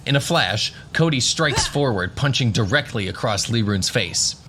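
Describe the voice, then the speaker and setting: A man's voice: mid-range voice, expressive, normal speed, bright, forceful tone, slight background noise. A man narrating a scripted fantasy adventure as the storyteller of an audio drama. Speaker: narrator, game master.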